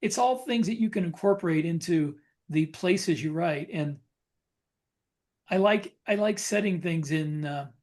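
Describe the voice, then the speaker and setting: A man chatting casually as a podcast co-host, thinking aloud in a halting way with repeated phrases. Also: a little high, a little mellow. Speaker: podcast co-host and science fiction author.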